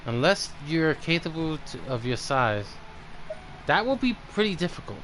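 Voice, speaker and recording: A man's voice: fairly high pitch, very animated, moderate pace, a bit bright, poor audio. A man doing casual livestream commentary as he plays a game, with some noise behind him. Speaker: game streamer.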